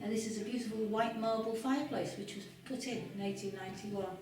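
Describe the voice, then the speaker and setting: A woman's voice: on the high side, fairly even intonation, a little fast, over music. A woman giving an informal, explanatory guided tour of a house, speaking with some hesitation. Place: large room inside an old house. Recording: echoey room, distant mic, background noise.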